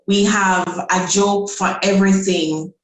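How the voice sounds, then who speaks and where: A woman's voice: medium pitch, fairly even intonation, takes it slowly. A woman from Jamaica, a guest on a podcast, talking in an easygoing, storytelling way. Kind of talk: casual podcast conversation. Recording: echoey room.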